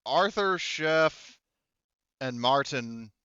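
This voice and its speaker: Male game master, clear smooth voice, dry close sound slightly dull, casual refereeing of a tabletop game. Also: mid-range voice, lively intonation, very slow, pushed and bright, no room sound.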